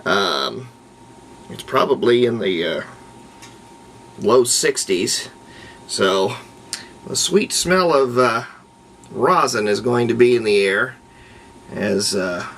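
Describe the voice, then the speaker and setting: Guy w/ creaky gravelly voice doing a homemade diy video, folksy n unhurried, bit of background noise. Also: lowish voice, fairly bright tone. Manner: unhurried, folksy, thinking aloud. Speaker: hobbyist restorer and video host. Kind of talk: casual hands-on demonstration vlog.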